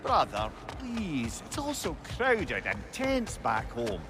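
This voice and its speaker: Swooping, chatty Scottish companion. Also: male voice, a little high, wildly swooping pitch, takes it slowly, over music, exaggerated voice. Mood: coaxing. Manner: wry, talkative, coaxing. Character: jovial, wry, chatty older adviser, a loyal companion. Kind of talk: video game character acting.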